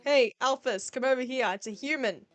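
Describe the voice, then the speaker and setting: A woman voicing a brash female fish warrior who yells and trades cocky smack talk. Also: sits high, normal speed, no echo, muffled recording, soft background music, lightly acted. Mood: gleeful. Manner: yelling, cocky trash talk.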